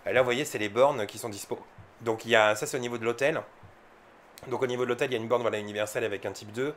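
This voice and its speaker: A man's voice: medium pitch, steady tone, very fast, fairly bright tone, slight background noise. A man from France giving casual, unscripted vlog commentary, explaining things informally and with some hesitation. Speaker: electric car owner and vlogger.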